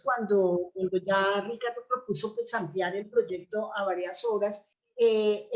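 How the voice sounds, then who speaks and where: A woman's voice: on the high side, darkish tone, poor audio. A woman with a Colombian accent answering as an interview guest, explaining in a conversational way and often adding short question tags. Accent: Colombian Spanish. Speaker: interview guest, theatre actress and playwright.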